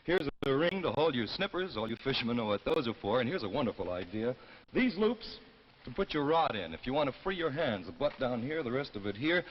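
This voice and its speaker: A man gives an informal live television product pitch in a mid-range voice. He is conversational and folksy, on a muffled old soundtrack with faint noise. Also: big pitch swings, a little fast. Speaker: announcer and commercial presenter.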